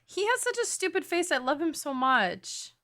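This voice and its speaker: A young woman streaming a game, giving casual, slangy and expressive reactive commentary. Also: sits high, normal speed.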